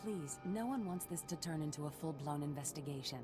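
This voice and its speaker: A formal galactic senator in a video game. Her voice is very low and composed. Music is clearly heard behind her. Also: lively intonation, a little fast. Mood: placating.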